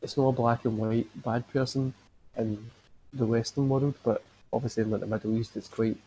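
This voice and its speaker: A man's voice: mid-range voice, steady tone, normal speed, dark tone, dull audio, slight background noise. A man hosting a podcast in casual chat, rambling and filling his talk with "like". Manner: rambling, leans on "like" fillers. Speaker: podcast host.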